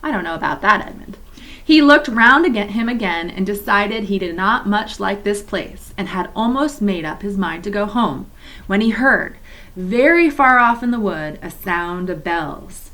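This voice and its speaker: A woman reading a chapter book aloud to children for a church, adding gentle, chatty asides to her young listeners. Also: medium pitch, expressive, moderate pace. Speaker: children's story reader at a church.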